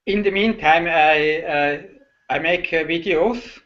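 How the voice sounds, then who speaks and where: A middle-aged Swiss man speaks English with a Swiss German accent in a slow, halting way, full of pauses. His voice sits a little high for a man. The audio is dull and lo-fi, as from a video call. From Switzerland. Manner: hesitant, halting, many uh fillers. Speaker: guest. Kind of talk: casual video call chat.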